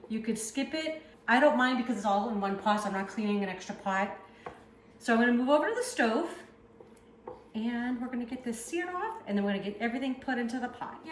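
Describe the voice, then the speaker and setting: A woman's voice: a little high, a bit bright, reverberant, a little hiss or hum. A woman from the Northeast US with a Northeastern accent hosts a cooking channel, chatting casually as she works in her home kitchen. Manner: chatty, upbeat, talks while working.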